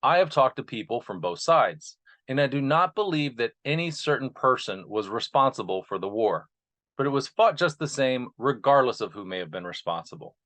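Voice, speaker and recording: Man, host and narrator, earnest delivery, medium pitch, addressing listeners. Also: very animated, normal speed, fairly bright tone. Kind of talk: informal introduction, then reading a speech aloud.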